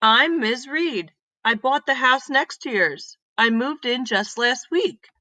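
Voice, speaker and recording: A friendly woman librarian from a storybook, acted by a woman reader. She sounds welcoming and deliberate, speaking slowly in a bright, slightly raised voice. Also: high-pitched, animated, dry recording, pitched above the performer's own voice, heavily acted.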